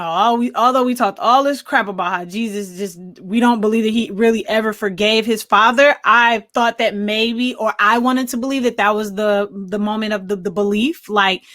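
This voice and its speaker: A woman co-hosting a podcast, talking through films casually in an animated, rambling, think-aloud way. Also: on the high side, dry recording. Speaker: podcast co-host. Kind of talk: casual film discussion.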